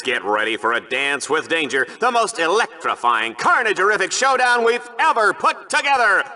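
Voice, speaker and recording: Hyped up smarmy sci-fi sports announcer, real bright and forceful, voice jumping all over. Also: male voice, high-pitched, full character voice. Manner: bombastic, showy announcer delivery. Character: flamboyant, smarmy, hyped-up sci-fi bloodsport show host. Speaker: game show commentator. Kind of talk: over-the-top sports commentary.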